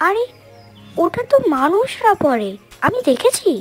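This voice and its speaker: Woman as a high, swooping, pert little bird. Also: very animated, a little slow, soft background music, full character voice. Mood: matter-of-fact. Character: chirpy, outspoken, teasing little female bird. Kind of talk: children's fairy-tale cartoon acting.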